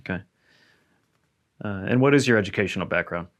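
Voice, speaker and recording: A man's voice: low voice, brisk, a bit bright. A man interviewing a guest in a historic house, asking plain, methodical questions.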